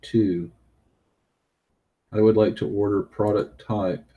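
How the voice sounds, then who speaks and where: A man's voice: on the low side, fairly even intonation, slow, warm tone. A man with a Southern US accent hosting a study group, walking through a coding lab while thinking aloud. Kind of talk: live coding walkthrough.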